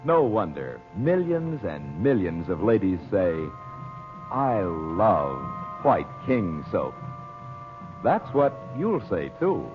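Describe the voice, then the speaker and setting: A man's voice: low-pitched, wildly swooping pitch, slow pace. A man announcing on old-time radio, polished and persuasive, speaking straight to the listeners. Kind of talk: 1930s-40s radio sponsor announcement. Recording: muffled vintage radio recording. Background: music.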